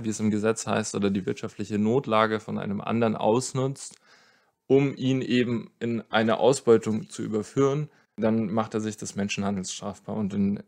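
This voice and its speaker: A man with a fairly low voice gives expert explanations in a podcast interview. He talks conversationally, working out his points as he goes. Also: darkish tone. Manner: conversational, thinking aloud as he explains. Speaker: lawyer, expert guest.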